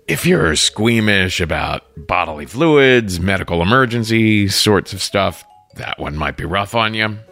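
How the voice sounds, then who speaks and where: Male show host, chatty intro read, very expressive intonation over quiet music. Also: fairly low pitch, dry recording. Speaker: podcast host.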